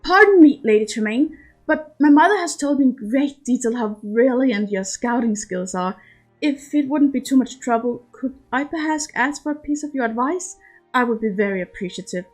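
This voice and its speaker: A woman's voice: fairly high pitch, lively intonation, normal speed, faint music behind, pitched above the performer's own voice, rough recording. A woman voicing a polite, deferential young noblewoman in a story, speaking formally. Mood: courteous. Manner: formal, courteous.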